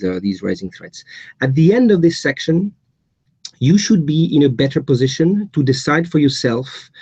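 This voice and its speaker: A man's voice: mid-range voice, vocal fry, warm tone, breathy edge, dry recording, muffled recording. A middle-aged Belgian man with a Belgian accent, giving a webinar presentation with frequent fillers and repeated words. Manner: hesitant, many repeats and fillers. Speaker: guest speaker.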